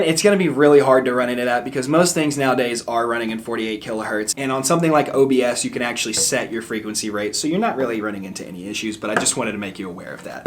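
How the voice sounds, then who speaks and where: A young man, a musician, casually reviewing a microphone in an upbeat, chatty way and explaining the tech terms plainly. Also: mid-range voice. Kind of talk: casual product review vlog. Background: background music.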